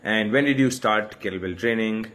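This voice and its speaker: A man's voice: lowish voice, normal speed, a bit bright. A man hosting a casual interview show, talking emphatically and repeating words for effect.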